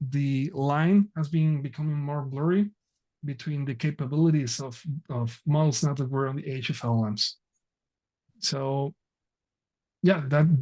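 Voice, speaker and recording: A man presenting an online technical workshop over a video call, talking haltingly with many fillers and restarts. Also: a little high, a little mellow.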